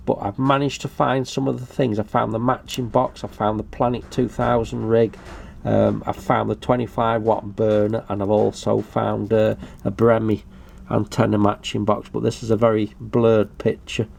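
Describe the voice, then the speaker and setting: A man's voice: fairly low pitch, little pitch movement, moderate pace, creaky, darkish tone, slight background noise. An older British man giving a casual show-and-tell commentary in a hesitant, matter-of-fact way. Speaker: hobbyist video presenter.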